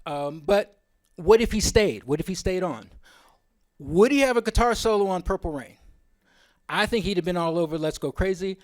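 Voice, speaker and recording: A man's voice: high voice, lively intonation, moderate pace. A man presenting at a symposium in an informal, opinionated way, often wandering into asides.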